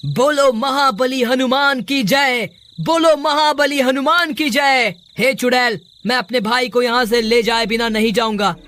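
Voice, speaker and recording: A man's voice: extremely high pitch, steady tone, normal speed, hardly any pauses, fairly bright tone, a little hiss or hum. A man playing anxious, earnest village brothers in a cartoon horror story, speaking in a dramatic, exclaiming way. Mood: bold, devout. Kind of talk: Hindi cartoon story acting.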